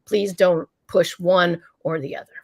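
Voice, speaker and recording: A woman's voice: fairly low pitch, steady tone, a little slow, creaky, darkish tone, dry recording, clean studio sound. A middle-aged woman doctor answering interview questions in a forthright, emphatic way, laughing between points. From United States. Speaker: doctor and company founder, guest.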